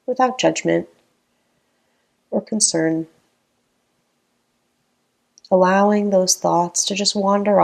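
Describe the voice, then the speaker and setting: Slow, low-voiced woman guiding meditation. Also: vocal fry. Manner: calm, gentle, unhurried with long pauses. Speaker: meditation guide. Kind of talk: guided meditation narration.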